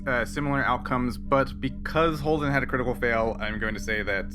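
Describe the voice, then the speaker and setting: A man's voice: mid-range voice, fairly quick. A man narrating and refereeing a tabletop roleplaying game in an easygoing, conversational way. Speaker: game master. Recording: background music under the voice.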